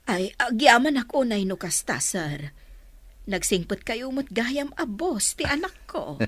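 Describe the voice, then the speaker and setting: An actress with an Ilocano Filipino accent playing a warm, hospitable older provincial mother in a radio drama. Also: on the high side, sing-song, a little slow, husky, no room sound, full character voice. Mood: welcoming.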